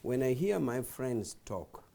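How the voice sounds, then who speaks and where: A man's voice: mid-range voice, big pitch swings, slow pace, gravelly, dark tone. A man, a party official on a talk show, defending his side in an insistent, lecturing way. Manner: insistent, lecturing, repeats phrases.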